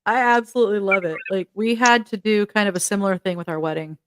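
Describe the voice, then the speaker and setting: A woman hosting a casual interview, enthusiastic and quick to laugh. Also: medium pitch, fairly bright tone. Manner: enthusiastic, giggly. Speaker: host.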